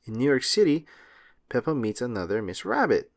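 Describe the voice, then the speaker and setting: A man reading a children's picture book aloud as an expressive storyteller, drawing out words for effect. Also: mid-range voice, moderate pace, creaky. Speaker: read-aloud host.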